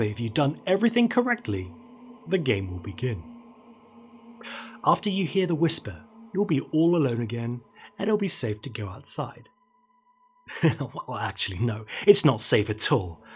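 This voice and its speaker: A man in his thirties narrating a scary story in a theatrical, ominous way with deliberate emphasis. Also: mid-range voice, husky. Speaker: horror storyteller, show host. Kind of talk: dramatic creepypasta narration. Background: dark ambient music.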